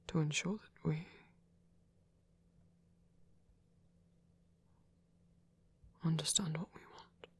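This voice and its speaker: A performer voicing a gentle, devoted male vampire priest in an intimate ASMR roleplay, speaking in a breathy, hesitant murmur with formal wording. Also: medium pitch. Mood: gently cautious. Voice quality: breathy, whispery. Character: soft-spoken, tender male vampire priest, earnest and devoted. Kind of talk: intimate ASMR roleplay, scripted romantic audio drama. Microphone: close, dry microphone.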